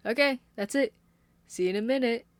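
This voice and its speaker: A bubbly teenage girl hosting a party, put on by a fan fiction reader, high, loud and sing-songy. She draws out her words sweetly. The recording is close and dry. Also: fairly quick, no room sound, lightly acted.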